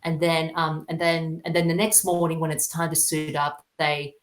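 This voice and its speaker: A woman chatting casually as a podcast guest, halting and often repeating her phrases. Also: deep voice, flat delivery. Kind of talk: casual chat. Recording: roomy, echoey sound.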